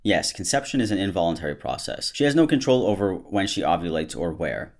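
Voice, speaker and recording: Deep-voiced man, sarcastic commentary. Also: lively intonation, fairly quick. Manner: sarcastic, mocking jokes. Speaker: YouTube commentary host. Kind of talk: comedic reaction commentary on online posts.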